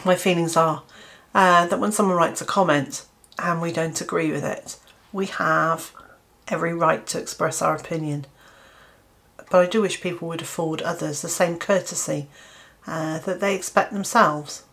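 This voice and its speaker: A woman's voice: lowish voice, steady tone, a bit bright. Earnest British woman in her fifties. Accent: British English. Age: 50s. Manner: earnest, measured, with hesitant restarts and fillers. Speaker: gardening channel host. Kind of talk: opinion piece to camera.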